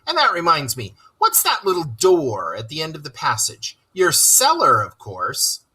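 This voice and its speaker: Practical, cheery storybook rat, put on by a reader, encouraging and brisk, forceful with a slight rasp. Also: medium pitch, wildly swooping pitch, voice pushed up, full character voice. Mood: teasing. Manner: brisk, upbeat, coaxing. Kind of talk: children's storybook read-aloud.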